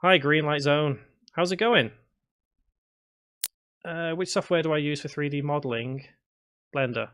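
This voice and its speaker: A man's voice: a little high, measured pace, long pauses, fairly bright tone. A man in his thirties from Liverpool with a Scouse accent, chatting casually on a live stream as he reads viewer comments aloud and answers them. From Liverpool, England. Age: 30s. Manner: reads chat aloud and answers, with restarts and fillers. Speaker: game development streamer.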